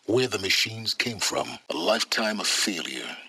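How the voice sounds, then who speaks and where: A man's voice: lowish voice, big pitch swings, moderate pace, bright, forceful tone, no echo, exaggerated voice. An actor playing a secretive, learned middle-aged man in a video game, imperious and condescending, with formal, precise diction. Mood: grave, reflective. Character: secretive, learned older male wanderer, imperious and impatient. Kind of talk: video game character dialogue.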